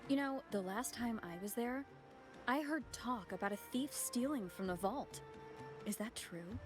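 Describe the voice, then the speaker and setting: Game role, woman actor: friendly young gossip-seeker, chatty, higher register, music underneath. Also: unhurried, natural voice. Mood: friendly, probing. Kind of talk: video game voice acting.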